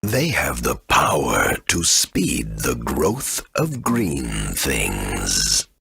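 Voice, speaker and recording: A male actor playing an ancient, kindly, forgetful great tree elder in a video game, with a deep, gravelly, husky voice and a slow, halting delivery. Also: wildly swooping pitch, full character voice. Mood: instructive. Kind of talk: video game character acting.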